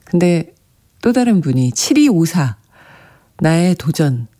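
A radio host with a soft voice that sometimes drops to a breathy whisper, chatting gently and reading listener messages on a classical music show. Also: mid-range voice, sing-song, takes it slowly. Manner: gentle, chatty, often laughing softly.

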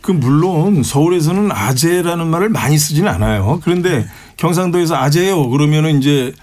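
Man, talk show host, slightly higher voice, calm measured questions. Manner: calm, measured, frequent short acknowledgments.

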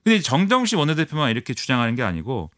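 Fast animated male political commentator. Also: mid-range voice, dry recording, clean studio sound. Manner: fast, animated explaining with many fillers. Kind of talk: radio current-affairs analysis.

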